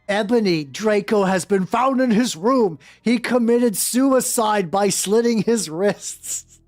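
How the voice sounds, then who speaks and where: A woman's voice: medium pitch, takes it slowly, a little mellow, natural voice. A woman voicing an angry, solemn old male wizard headmaster in a fan fiction story. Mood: angry, grave. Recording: dry close sound with music behind.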